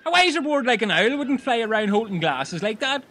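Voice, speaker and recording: A man's voice: very high voice, big pitch swings, a little fast, sharp tone, full character voice. A man playing a bossy, smug know-it-all castaway in an improvised comedy audio drama.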